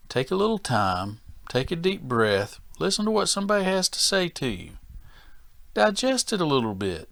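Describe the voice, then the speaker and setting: A man teaching as a safety training instructor in a plain-spoken, deliberate, folksy manner. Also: lowish voice, a bit bright, dry recording. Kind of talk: instructional presentation to workers.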